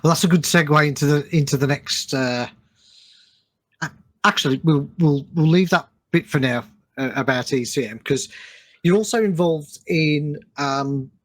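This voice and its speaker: A man hosting a casual podcast chat, speaking in a halting way and repeating words as he frames questions. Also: on the high side, a bit bright. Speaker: podcast host.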